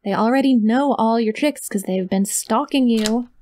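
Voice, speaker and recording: A woman's voice: fairly high pitch, moderate pace, noisy background, close to an everyday voice. A woman playing a sweet, awkward, clingy young yandere kidnapper in an ASMR audio roleplay, rambling and gushing straight to the listener. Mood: anxious, insistent.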